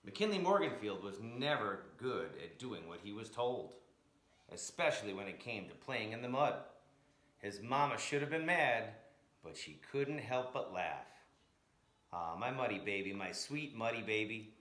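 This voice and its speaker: A man's voice: medium pitch, sing-song, a little slow, a bit bright. A man reading a picture book aloud in a dramatic, emphatic way, drawing out key words. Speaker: storyteller, blues educator. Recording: echoey room.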